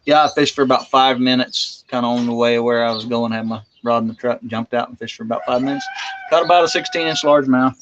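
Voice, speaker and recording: A man's voice: medium pitch, fast, dull audio, noisy background. A man chatting casually on a farm livestream, explaining milking and fishing. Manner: easygoing, explanatory, chuckling. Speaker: homesteading livestream co-host. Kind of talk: casual live-stream chat. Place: outdoors on a farm, milking a cow.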